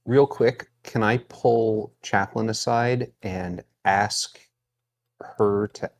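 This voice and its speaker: Slow, low-voiced man at game table. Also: steady tone. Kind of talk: casual table talk.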